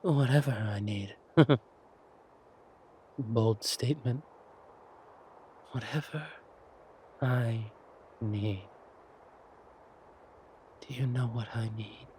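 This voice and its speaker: A man acting a menacing, silkily mocking villain king in an audio roleplay, taunting with deliberate words and drawn-out pauses. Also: mid-range voice, a little mellow, slight background noise. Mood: threatening.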